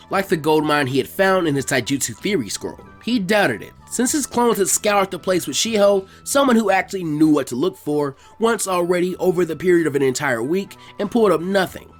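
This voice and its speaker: A man reading scripted fan fiction aloud as a story narrator. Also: on the high side.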